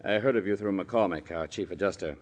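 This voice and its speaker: An actor playing a polished but uneasy male executive who hedges with many pauses, in 1940s radio acting style. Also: low voice, darkish tone. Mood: guarded. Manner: smooth, hesitant, with many uh's.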